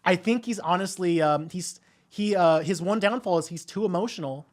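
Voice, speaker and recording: Man, high-pitched voice, casual podcast co-host, informal, opinionated. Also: moderate pace. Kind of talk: casual film discussion.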